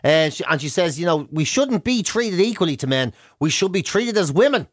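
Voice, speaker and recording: A man's voice: fairly high pitch, expressive, fairly quick, no echo, studio quality. An Irish man co-hosting a radio show with comic, casual banter, mocking and rambling in colourful slang.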